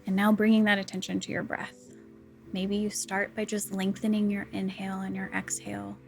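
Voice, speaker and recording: A woman leading a guided meditation, speaking in a soft, calm, unhurried and soothing way. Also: mid-range voice, creaky, soft background music. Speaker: counselor guiding a body scan meditation.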